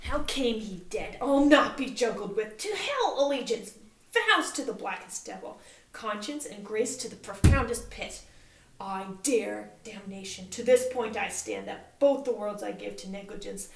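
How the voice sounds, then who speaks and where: A woman's voice: medium pitch, animated, measured pace, creaky, roomy sound, rough recording. A woman voicing a furious young nobleman who declaims his defiance in a hot-tempered way. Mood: defiant.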